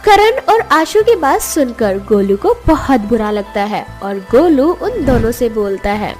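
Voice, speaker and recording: Lady reading a kids fairy tale, high lively voice, music underneath. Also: measured pace. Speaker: storyteller. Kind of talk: scripted children's story narration.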